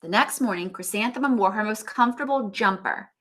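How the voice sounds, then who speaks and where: A woman teacher reading a storybook aloud to young children, with expressive emphasis and chatty asides to them. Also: medium pitch, a little fast, background noise.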